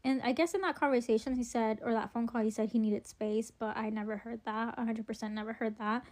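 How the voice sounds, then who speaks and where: A woman's voice: on the high side, steady tone, fairly quick, a little mellow. A young woman chatting casually through a relationship storytime while doing her makeup, rambling with lots of ums and likes and a wry edge.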